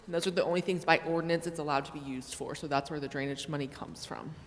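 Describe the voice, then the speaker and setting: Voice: mid-range voice, fairly even intonation, fast talker, a little hiss or hum. A city official giving an unscripted, apologetic explanation at a formal public meeting. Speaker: city official answering council questions. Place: indoor city council chamber. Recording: echoey council chamber.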